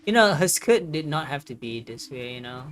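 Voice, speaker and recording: A woman's voice: low-pitched, animated, normal speed. Woman game streamer, casual commentary, stammering, amused manner, music behind. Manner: amused, stammering, repeats words. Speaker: streamer playing a story game. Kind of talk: casual gameplay commentary and reading aloud.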